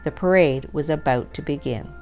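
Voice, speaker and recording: A woman's voice: sits low, normal speed, gravelly, mellow. A woman reading a humorous story aloud as a storyteller, in scripted narration. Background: music.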